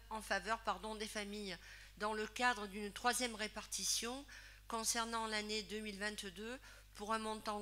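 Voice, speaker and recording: Bright, higher-voiced woman reading a report. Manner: formal, careful reading. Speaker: council member presenting a report. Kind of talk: reading a formal report aloud. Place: municipal council chamber.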